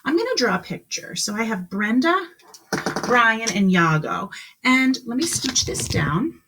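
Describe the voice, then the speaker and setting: A woman's voice: a little high, sing-song, measured pace, noisy background. A woman teaching kindergarten on a live stream, speaking encouragingly to children in simple questions and instructions.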